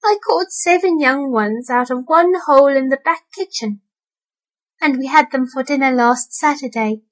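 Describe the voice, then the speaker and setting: Lady doing a weepy worried mom cat, high and fretful. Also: moderate pace, close to an everyday voice. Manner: fretful lamenting. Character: anxious fussy mother cat, tearful.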